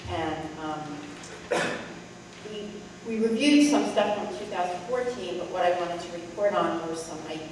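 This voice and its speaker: A woman's voice: lowish voice, lively intonation, a little slow, a little mellow. A woman giving a liaison report at a school board meeting in a plain, matter-of-fact way. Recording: echoey room, distant mic, noise.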